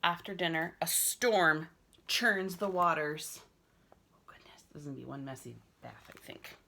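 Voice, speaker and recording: Woman reading a picture book to kids, dramatic, bright forceful voice, some fry. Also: low-pitched, unhurried, a little hiss or hum. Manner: expressive, dramatic reading for children. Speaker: school librarian. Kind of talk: children's story read-aloud with asides.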